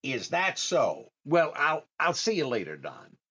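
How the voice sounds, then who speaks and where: A man's voice: on the high side, huge pitch swings, measured pace, highs cut off, very noisy. An actor playing a genial, easily puzzled man out shopping in a radio comedy, hesitating and repeating his words. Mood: distracted, genial. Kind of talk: old-time radio comedy acting.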